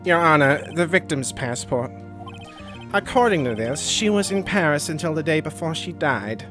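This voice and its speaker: A man's voice: fairly high pitch, animated, normal speed, over music, voice pushed down, close to an everyday voice. A man voicing a smug, condescending prosecutor in a courtroom video game, speaking formally and pointedly. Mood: matter-of-fact, smug. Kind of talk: video game role-play.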